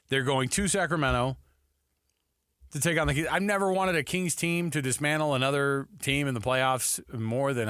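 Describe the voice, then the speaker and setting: A man's voice: fairly high pitch, a bit bright, no room sound, studio quality. A man hosting a casual sports talk radio show.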